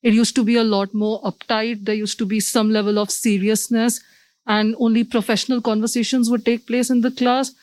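A woman's voice: on the high side, little pitch movement, fairly quick, a little mellow, dry recording, rough recording. Woman, articulate panelist, dry close sound. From India. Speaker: MBA student panelist. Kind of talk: panel discussion.

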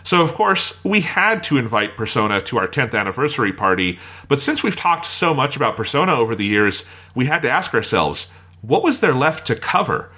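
Male podcast host, bright forward voice, conversational introductions, mid-range pitch. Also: very animated, a little fast, breathy edge.

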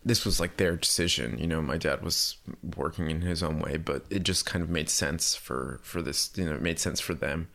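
A man's voice: extremely low pitch, normal speed, creaky, few pauses, a bit bright. A young man, an artist born in Puerto Rico, answering interview questions casually, rambling with lots of likes and you knows. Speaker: artist guest.

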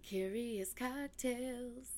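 A young woman with a raspy voice, co-hosting a casual chat podcast and telling a story, laughing as she talks. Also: very slow. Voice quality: deep raspy voice from yelling. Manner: chatty, laughs while talking. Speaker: podcast co-host.

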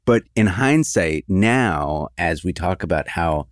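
A man's voice: deep voice, lively intonation, dry recording, clean studio sound. A man interviewing an artist, asking careful questions and framing them at length. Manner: measured, attentive, frames questions at length.

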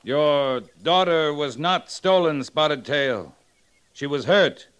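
An actor playing a stern, steady cavalry captain in a radio western, speaking with formal, measured authority. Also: male voice, a little high, clean tone. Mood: calm, firm. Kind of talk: old radio western acting.

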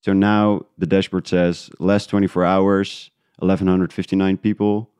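Really deep smooth guy chatting in an interview, kinda halting, thinking out loud. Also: level tone, dry recording, studio quality. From Netherlands. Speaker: guest, independent podcast creator. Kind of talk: casual interview conversation.

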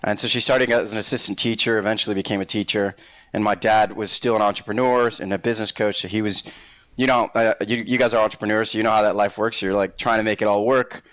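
A man from Puerto Rico, a native Spanish speaker, telling his personal story as an interview guest in a reflective, measured, conversational way. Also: fairly low pitch, steady tone, fairly bright tone, no room sound, low-quality recording. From Puerto Rico, later Massachusetts.

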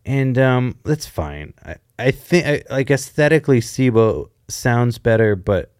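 A man in an easy, mid-pitched voice, co-hosting a casual podcast. He rambles, restarts his sentences and slips jokes into the flow. The sound is dry and close, with no room echo. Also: lively intonation, a little slow, a little mellow, clean tone. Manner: rambling, self-interrupting, jokey. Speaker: podcast co-host. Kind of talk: casual chat.

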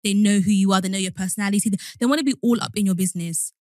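A young adult woman hosting a podcast in casual, slangy, animated chat. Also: mid-range voice, rapid-fire, dry recording, clean studio sound. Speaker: podcast host.

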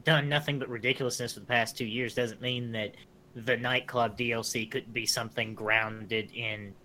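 A man's voice: mid-range voice, steady tone, normal speed, bright, forceful tone, a little hiss or hum, poor audio. A man co-hosting a podcast, speaking casually and wryly. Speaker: podcast co-host. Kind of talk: casual chat.

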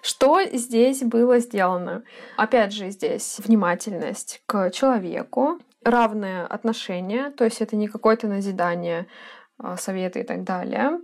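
A woman's voice: mid-range voice, a little slow. A woman co-hosting a podcast, explaining ideas in a conversational way and acting out short examples. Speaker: podcast co-host.

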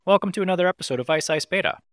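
A man's voice: sits high, racing, dry recording, studio quality. A man hosting a podcast, reading a scripted intro and then chatting playfully with his guest. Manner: animated, playful delivery. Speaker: podcast host.

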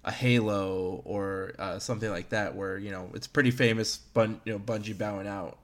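A man's voice: on the low side, steady tone, moderate pace. A man in his early thirties chatting casually as a podcast host about video games, in a rambling, self-deprecating way. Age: early 30s.

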